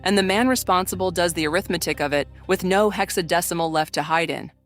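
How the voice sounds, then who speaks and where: A woman giving solemn, measured, literary narration for a scripted audio drama. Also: lowish voice, faint music behind.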